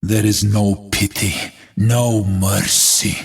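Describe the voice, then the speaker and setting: An actor playing a battle-hardened, stoic warrior in a video game, declaiming poetic lines solemnly in a gritty, husky voice. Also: male voice, on the low side, level tone, takes it slowly, exaggerated voice. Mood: grave, resolute.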